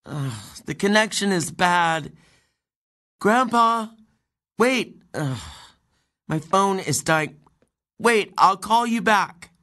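A man's voice: high-pitched, animated, bright, forceful tone, heavily acted. An actor with a male-sounding voice playing an earnest, anxious transgender teenage girl in an audio play, hesitant and apologetic. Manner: hesitant, questioning, quick to apologize. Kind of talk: audio drama acting of a stage play.

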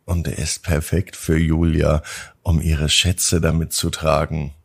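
Deep, creaky-voiced man telling bedtime stories. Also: little pitch movement. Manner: drawn-out, gentle delivery addressed to children. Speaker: host of a children's bedtime podcast. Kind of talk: bedtime storytelling, scripted narration.

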